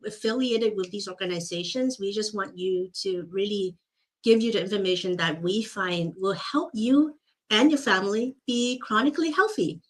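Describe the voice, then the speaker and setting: A woman from Hong Kong co-hosting a health show, giving a casual how-to demonstration in a chatty manner with small slips. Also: medium pitch. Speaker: podcast co-host. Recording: roomy echo, faint room noise.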